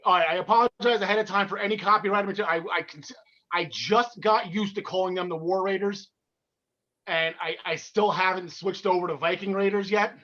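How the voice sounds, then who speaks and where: A man with a North Jersey accent co-hosting a wrestling podcast, talking fast and excitedly with lots of restarts. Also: high voice, dull audio. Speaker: podcast co-host. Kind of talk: casual wrestling chat.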